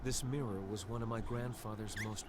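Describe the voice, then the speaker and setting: Man as a husky, solemn royal nobleman. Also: medium pitch, fairly even intonation, brisk, lightly acted. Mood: solemn, reflective. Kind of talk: video game cutscene acting.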